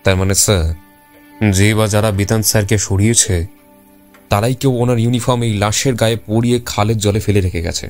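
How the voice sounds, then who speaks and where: A man's voice: lowish voice, fairly even intonation, fairly quick, close to an everyday voice. An actor playing an earnest junior police officer who reports respectfully to his superior in an audio drama. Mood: startled, urgent. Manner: deferential, addressing his superior as sir. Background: background music.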